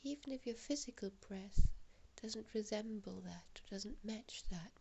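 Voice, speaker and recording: A woman guiding a relaxation meditation in a soft, breathy, half-whispered voice, giving gentle, hushed instructions with long pauses. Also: medium pitch.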